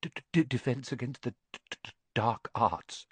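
A man voicing a nervous young professor with a heavy stammer and halting, anxious delivery in an audiobook. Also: mid-range voice, big pitch swings, dry recording. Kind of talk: audiobook character acting.

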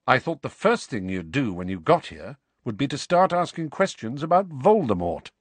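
A man reading an audiobook and voicing a guarded, wry grown man who talks low and stays calm. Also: normal speed, no room sound. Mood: cool. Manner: low, muttering, cool and calm. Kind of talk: audiobook character voice.

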